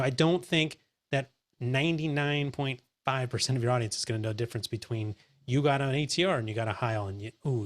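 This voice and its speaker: A man hosting a casual roundtable chat, talking in an animated, emphatic, opinionated way into a dynamic mic pointed up at his face. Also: mid-range voice. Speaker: host.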